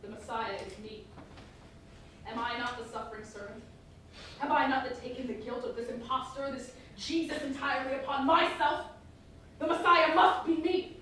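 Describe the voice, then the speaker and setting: A woman's voice: high-pitched, steady tone, moderate pace, a bit bright. A woman playing a scheming, grandiose traitor obsessed with death in a theatrical staged reading, declaiming with great self-importance. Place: echoey performance hall, distant mic. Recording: echoey hall from far off, faint noise.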